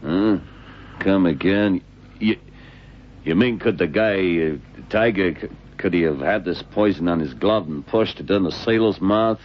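An actor playing a shady, defensive American boxing referee with halting, rough street speech in an old radio drama. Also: male voice, sits low. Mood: guarded. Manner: halting, rough colloquial grammar. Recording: muffled, noisy old radio drama recording.

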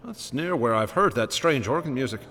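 Dogged detective, sardonic, lively swooping intonation, mid-pitched male voice, radio drama. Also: fairly quick, a little hiss or hum. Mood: wry, probing. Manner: wry, sardonic. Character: wry, dogged male New York police detective named Malone. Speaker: detective and narrator. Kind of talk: radio drama dialogue and narration.

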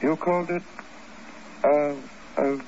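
An actor playing a troubled, earnest military captain who speaks haltingly in a 1950s radio drama. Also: male voice, a little high, steady tone, darkish tone. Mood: dazed, entranced. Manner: earnest, halting, repeating himself. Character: scared, troubled military captain, later dazed in hypnotic trance. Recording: dull, noisy old radio recording.

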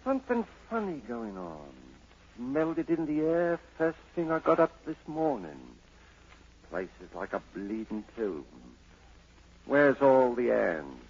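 A slow, husky Cockney rogue sneers and bullies his way through an old radio drama. His talk is slangy and sly, and the broadcast sounds dull and aged. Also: mid-range voice, sing-song, darkish tone, full character voice. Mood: suspicious bullying. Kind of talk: 1940s radio drama acting.

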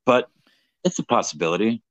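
A man with a lowish voice talks loosely on a livestream, swearing freely and poking fun at himself. The sound is dry and close, with little room echo. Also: expressive, a little fast, lo-fi sound. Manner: profane, rambling, self-mocking. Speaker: livestream co-host. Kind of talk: casual livestream chat.

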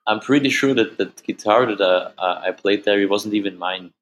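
A man from Austria whose first language is German, speaking English with an Austrian German accent as an interview guest, halting and repeating words, often laughing. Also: fairly low pitch, rough recording. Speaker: musician guest. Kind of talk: casual interview answers.

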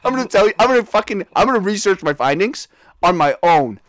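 A man's voice: sits high, very animated, quick pace, no echo. A man co-hosting a podcast, rambling and crude in casual comedic chat, laughing a lot. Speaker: podcast co-host.

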